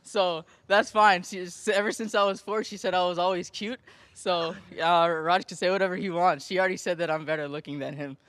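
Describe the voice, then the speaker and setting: A jockey with a Jamaican accent speaks softly in a post-race interview, relaxed and playful. His voice sits a touch higher than most men's. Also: a little fast, no echo. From Jamaica and Florida. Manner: relaxed, joking, soft-spoken.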